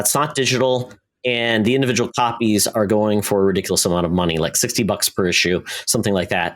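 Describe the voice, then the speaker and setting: A man co-hosting a podcast in a casual, opinionated, conversational way. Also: fairly low pitch. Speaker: podcast co-host. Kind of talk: casual discussion of comics and media.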